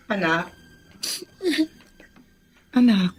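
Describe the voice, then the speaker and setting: A woman's voice: on the low side, extremely slow, breathy edge, full character voice. An actress playing a middle-aged widowed mother in a radio drama, sorrowful and soothing as she consoles. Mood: tender sorrow. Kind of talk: melodramatic radio drama acting.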